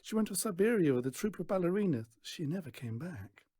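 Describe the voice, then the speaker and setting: A rueful showman father from a children's storybook, acted by the narrator. He talks in a folksy, resigned way with a husky tone and lively pitch. He is kindly and plain-spoken. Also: brisk, no room sound, studio quality.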